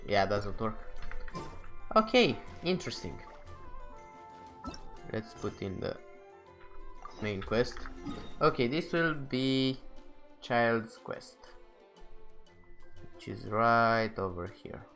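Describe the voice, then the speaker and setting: A man's voice: medium pitch, lively intonation, slow, long pauses, a bit bright. A man hosting a gameplay video, commenting casually and muttering his reactions to himself. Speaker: gaming video host. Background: faint game music.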